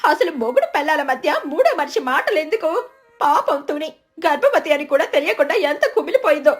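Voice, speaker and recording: A woman's voice: high-pitched, big pitch swings, brisk, soft background music. A woman voicing a motherly female eagle in a cartoon story, with an emphatic, fussing manner. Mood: indignant. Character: motherly, indignant female eagle, an older friend.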